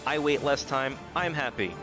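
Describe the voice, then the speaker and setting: A man's voice: mid-range voice, lively intonation, normal speed. A man of thirty chatting casually and ramblingly to viewers as he livestreams a video game, with a self-deprecating streak. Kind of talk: casual live gaming commentary, chatting to viewers. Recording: music behind the voice. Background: loud game music.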